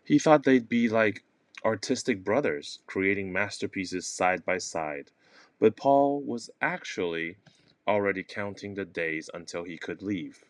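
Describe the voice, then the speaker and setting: A man narrating a comic play as a theatrical storyteller, using dramatic pauses. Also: on the low side, animated, dry recording. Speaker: narrator, teacher.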